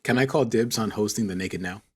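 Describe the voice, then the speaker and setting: A man's voice: fairly low pitch, fairly quick, darkish tone, breathy edge. A man chatting casually as a podcast co-host, jokey and playfully dramatic. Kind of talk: casual chat.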